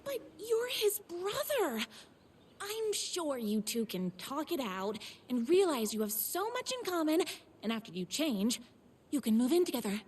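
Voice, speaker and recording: A woman's voice: high-pitched, sing-song, measured pace, a little hiss or hum. A woman playing a devoted, gushing superfan of a writer in a video game, earnest and melodramatic in her scripted lines. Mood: perky, pleading. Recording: loud music behind the voice.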